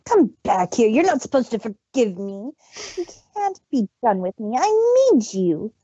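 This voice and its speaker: A woman's voice: a little high, sing-song, unhurried, mellow, breathy edge, no echo, muffled recording, exaggerated voice. A woman voicing a bitter, domineering and possessive villainous mare in a story reading. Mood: desperate, angry.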